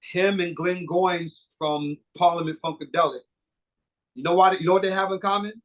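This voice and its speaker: Animated middle-aged man, fairly high voice. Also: moderate pace. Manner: animated, swears freely, many fillers and restarts. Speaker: guest singer and producer. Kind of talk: casual interview chat.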